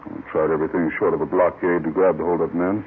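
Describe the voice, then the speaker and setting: An actor playing a determined police official in a 1950s radio crime drama, a man with a brisk, matter-of-fact manner. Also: deep voice, little pitch movement, lightly acted. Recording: tinny, faintly noisy old radio recording.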